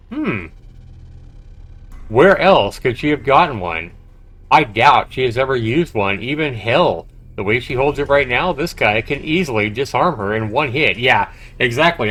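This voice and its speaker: A man's voice: mid-range voice, fairly bright tone. A man livestreaming a visual novel, reading the text aloud dramatically and reacting expressively as he comments. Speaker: game streamer. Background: game music.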